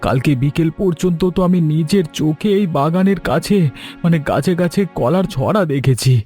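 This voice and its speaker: A man voicing a younger village helper in an animated story, deferential and solicitous toward his elder. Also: a little high, normal speed, faint music behind, lightly acted. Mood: concerned. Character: concerned, respectful younger male village helper, eager to comfort. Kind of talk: Bengali animated story dialogue.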